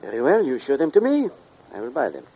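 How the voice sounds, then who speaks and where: A man's voice: medium pitch, huge pitch swings, fast talker, breathy edge, highs cut off, heavily acted. Polite, guarded Frenchman, formal French-accented speech, swooping intonation, vintage radio crime drama. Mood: businesslike, guarded. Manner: formal, stilted phrasing. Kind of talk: 1940s radio drama acting.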